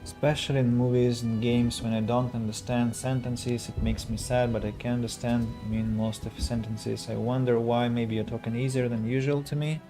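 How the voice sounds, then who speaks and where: A young adult man from Russia with a Russian accent, streaming a strategy game and chatting with viewers, choosing his words slowly and carefully with frequent restarts. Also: medium pitch, little pitch movement, music in the background. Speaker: streamer and English teacher. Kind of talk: casual gameplay streaming chat.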